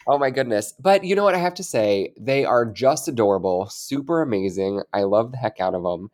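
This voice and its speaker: A man's voice: medium pitch, animated, a little fast, a little mellow. A man co-hosting a casual podcast, stuffy-nosed and congested, chatting in an upbeat, rambling way. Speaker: podcast co-host. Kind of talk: casual chat.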